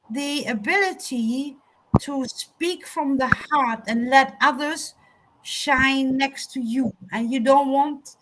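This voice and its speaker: Slow-paced woman, native German speaker, reflective. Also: high voice, a bit bright, rough recording. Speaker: panelist on a talk show. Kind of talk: reflective unscripted panel talk.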